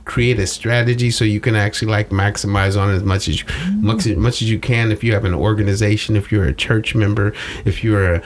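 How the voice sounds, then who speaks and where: Very deep-voiced man explaining casually. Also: a little fast, hardly any pauses. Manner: animated coaching with rhetorical questions and colloquial phrasing. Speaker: social media strategist guest. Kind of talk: casual explanatory interview talk. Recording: noticeable background noise.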